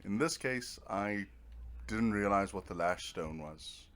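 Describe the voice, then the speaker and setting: A man's voice: fairly low pitch, expressive, slow pace, fairly bright tone. A man giving casual, rambling commentary over a video game, with a dry, self-deprecating sense of humor. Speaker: video game let's player.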